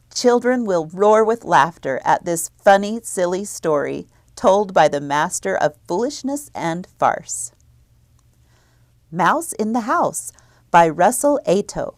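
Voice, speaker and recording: A woman library presenter reading scripted announcements and children's book reviews, clearly enunciated. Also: on the low side, no echo, clean studio sound.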